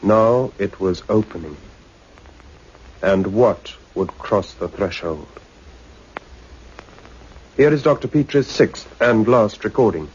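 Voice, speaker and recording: An actor playing a portentous male narrator of a sci-fi radio serial, solemn, measured and suspenseful. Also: lowish voice, expressive, a little hiss or hum. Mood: ominous, solemn. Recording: muffled radio recording with music behind.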